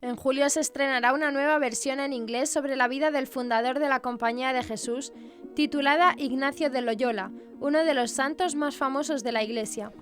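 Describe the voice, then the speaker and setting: High-pitched woman reading the news. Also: steady tone, fairly quick, music in the background. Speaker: news presenter. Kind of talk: scripted news narration.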